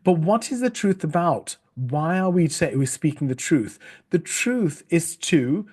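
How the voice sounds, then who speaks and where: A middle-aged man from Sri Lanka coaches staff on storytelling in a recorded talk. He speaks in an earnest, measured way, often restarting phrases with ums and uhs, and sometimes spells words out letter by letter. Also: fairly high pitch, expressive, a little mellow, slightly rough.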